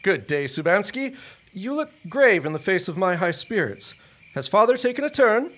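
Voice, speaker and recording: An actor playing an anxious, genteel grown son in a period audio drama, speaking formally with a husky voice. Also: male voice, sits high, big pitch swings, fairly bright tone. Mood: brisk, concerned. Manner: formal, old-fashioned, halting under pressure. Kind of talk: period radio drama acting.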